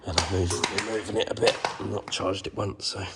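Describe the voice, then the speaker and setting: A man with a cold, croaky and hoarse, chatting casually with lots of ums while filming a walkaround of his truck restoration in a workshop. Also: sits low, fairly quick, heavy background noise. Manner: relaxed, many ums, trailing off.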